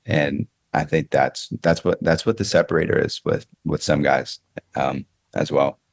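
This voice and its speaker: A man's voice: rumbling low, fairly even intonation, a little slow, gravelly, no room sound, studio quality. An American man in his thirties talking casually and reflectively as an interview guest, often repeating phrases as he thinks aloud. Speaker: baseball coach interviewed as podcast guest.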